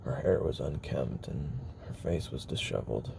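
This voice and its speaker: Guy acting as a worn out haunted ex assassin, super low croaky voice, halting brooding confession in an audio drama, noisy recording. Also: dark tone, exaggerated voice. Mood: brooding, wistful. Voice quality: very deep gravelly voice, often sinking into whisper. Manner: halting, brooding, with trailing pauses. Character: haunted, weary male former child assassin recording tape memoir. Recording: noisy homemade recording with background ambience.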